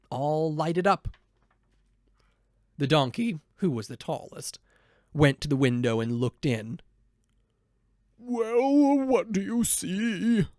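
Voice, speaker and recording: A man's voice: a little high, a little mellow, dry recording, clean studio sound. A man narrating a children's storybook as a voiceover performer.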